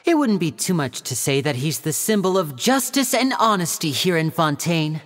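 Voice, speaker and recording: A woman's voice: deep voice, big pitch swings, moderate pace, a little hiss or hum. A woman voicing a polished, charming young male stage magician in a video game, polite and theatrical like a showman. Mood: gracious. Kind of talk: video game character acting.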